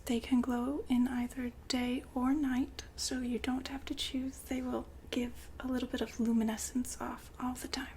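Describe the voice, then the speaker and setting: A woman's voice: high-pitched, level tone, normal speed, hardly any pauses, darkish tone, slight background noise, lightly acted. A woman playing a courteous, soothing saleswoman in a futuristic android shop, in a whispered ASMR roleplay with polite customer-service manners. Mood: calm, attentive.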